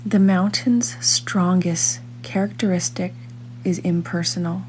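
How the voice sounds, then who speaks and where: A woman reading a scripted guided meditation in a calm, measured manner, leaving long pauses between phrases. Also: on the low side, a little hiss or hum, rough recording.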